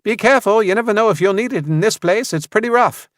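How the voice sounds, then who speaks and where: Man doing a chatty soldier, pitched way up. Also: quick pace, fairly bright tone, no echo, clean studio sound. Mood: friendly. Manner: cheerful, chatty. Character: friendly chatty young male Chinese soldier.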